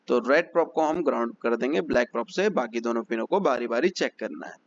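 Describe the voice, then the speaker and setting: A man's voice: mid-range voice, lo-fi sound, slight background noise. A man teaching phone repair step by step, methodical and repetitive as he explains each check. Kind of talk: step-by-step technical lesson.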